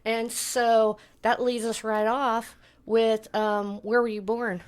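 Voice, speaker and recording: A woman's voice: on the high side, smooth, no room sound. A woman hosting a podcast, opening the show and then interviewing a guest with short encouraging replies. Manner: slow, relaxed delivery with short encouraging replies. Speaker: podcast host. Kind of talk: casual interview.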